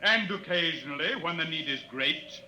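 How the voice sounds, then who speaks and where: Piercingly bright, solemn alien tribesman. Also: a little high, expressive, normal speed. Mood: solemn, proud. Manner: formal, solemn, ceremonious phrasing. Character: proud, grave alien mountain tribesman, a potter. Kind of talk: 1950s radio drama acting. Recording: noisy vintage radio recording.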